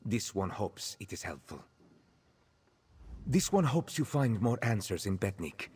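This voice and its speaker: A man voicing a gentle cat-folk former captive in a video game, speaking in a measured, formal way and referring to himself in the third person. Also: fairly low pitch, sing-song, husky, exaggerated voice. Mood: measured, informative.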